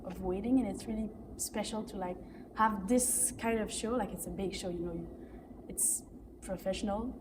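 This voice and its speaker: A woman's voice: medium pitch, normal speed. An eighteen-year-old woman from Canada, a young actor answering interview questions reflectively, with many "like" fillers. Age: teens. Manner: reflective, frequent "like". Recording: distant mic with background noise.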